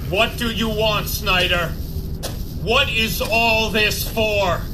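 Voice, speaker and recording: High-pitched, defiant hero. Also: male voice, fairly even intonation, slow, background noise. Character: defiant, weary heroic crusader confronting a villain. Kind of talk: modern audio drama acting.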